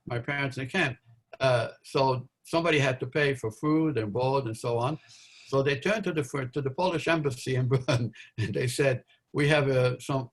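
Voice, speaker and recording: Male guest, 80s, from Belgium; halting, filler-heavy recollection, middle-pitched voice. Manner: halting, many fillers and restarts. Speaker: interview guest, Holocaust survivor. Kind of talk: recounting memories in a live interview.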